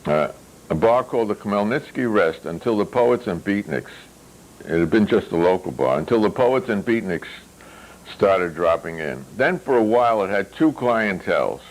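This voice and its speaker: Very low-voiced man reading fiction. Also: animated, moderate pace, low-quality recording. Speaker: author reading their own novel. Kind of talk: literary reading with spoken asides.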